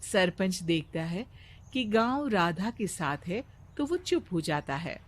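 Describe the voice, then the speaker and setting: A woman narrating a cartoon moral story in a calm, measured storytelling manner. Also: on the low side.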